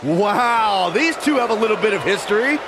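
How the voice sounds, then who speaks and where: A man's voice: high voice, expressive, moderate pace. A man voicing a supportive, upbeat wrestling commentator in a video game. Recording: crowd and arena noise behind.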